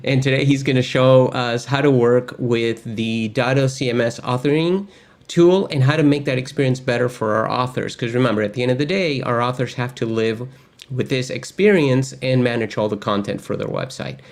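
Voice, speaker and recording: A man's voice: mid-range voice, moderate pace. A man hosting a live online tech lesson, chatting casually with lots of filler words. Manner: chatty, friendly, many fillers. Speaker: course host. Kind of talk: casual livestream lesson intro.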